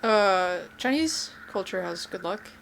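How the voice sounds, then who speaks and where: Woman co-host, mid-pitched, hesitant and self-deprecating in loose talk. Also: animated, unhurried, creaky, a little hiss or hum. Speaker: podcast co-host. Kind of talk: casual chat.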